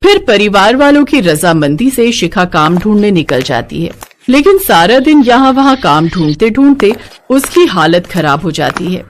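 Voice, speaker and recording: A woman's voice: mid-range voice, very animated, moderate pace, a little hiss or hum. A woman narrator reading a scripted moral bedtime story.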